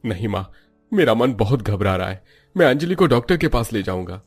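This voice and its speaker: A man's voice: lowish voice, expressive, a little fast, slightly rough, faint music behind. A man voicing a worried, angry young husband in a cartoon story, reproaching his mother in an earnest way. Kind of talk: Hindi cartoon story character voice.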